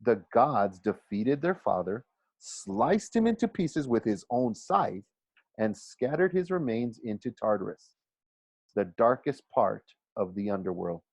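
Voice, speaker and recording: A man's voice: mid-range voice, very animated, measured pace, gravelly, a little mellow, husky, no echo, heavily acted. A man reading a storybook as a dignified male teacher, formal, questioning and patiently stern. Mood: lecturing.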